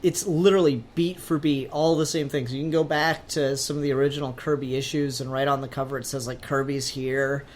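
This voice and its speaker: A man's voice: mid-range voice, fairly even intonation, fairly quick, few pauses, slight background noise. A man hosting a podcast in casual, rambling conversation with a joking manner. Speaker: podcast host.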